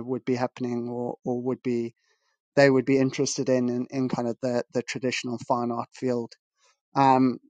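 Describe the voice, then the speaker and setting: A man's voice: mid-range voice, no room sound. A man from Zimbabwe, a guest artist on a video call, talking in a rambling, modest way and often restarting his sentences. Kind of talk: casual video-call interview. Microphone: video call over a weak connection.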